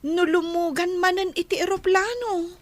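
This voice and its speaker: An excitable, gossipy Ilocano-accented friend, acted by a woman, with an extremely high-pitched voice. The delivery is amateur audio drama. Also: normal speed, no room sound. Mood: concerned.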